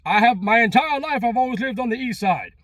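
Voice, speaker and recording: A man in his thirties with a notably high voice and a Long Island accent. He rambles casually to his vlog viewers, emphatic and repetitive, in a dry recording with little room sound. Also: expressive, fairly quick, fairly bright tone, no room sound, dull audio. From Long Island, New York. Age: 30s. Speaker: vlogger.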